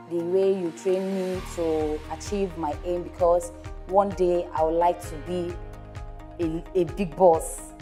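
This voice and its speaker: A woman's voice: lowish voice, warm tone. A woman in her twenties giving an eager, rambling self-introduction at a workshop. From Nigeria. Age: 20s. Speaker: workshop participant.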